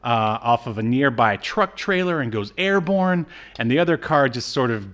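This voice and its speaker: A man co-hosts a podcast in a casual, conversational way. His pitch sits in the middle, and he recounts things with wry, sarcastic asides. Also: lively intonation, moderate pace, a bit bright. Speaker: podcast co-host. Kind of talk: casual episode recap.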